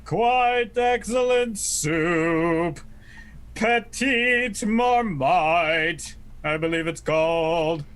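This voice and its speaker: A man's voice: sits high, extremely slow, fairly bright tone, smooth, heavily acted. A man playing a scheming, mock-courteous villain in a 1940s-style radio play, silky and condescending. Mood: silky menace. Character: scheming, mock-courteous mad villain leader of warring vegetables.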